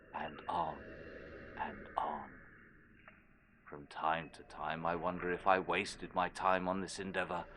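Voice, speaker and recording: An actor playing an immortal former sea captain turned cold cultist in a horror audio drama, speaking in a brooding, deliberate monologue. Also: male voice, low-pitched, a little hiss or hum, exaggerated voice. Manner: brooding, deliberate, trailing into quiet phrases.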